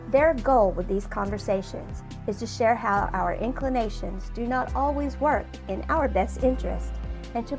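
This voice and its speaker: Polished woman announcer over music. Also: fairly high pitch. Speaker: show announcer. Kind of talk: scripted intro narration. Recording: voice-over with clear music behind.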